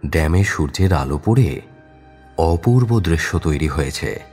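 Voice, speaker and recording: Male narrator for a thriller audio story, deep voice, animated dramatic reading. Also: slightly rough.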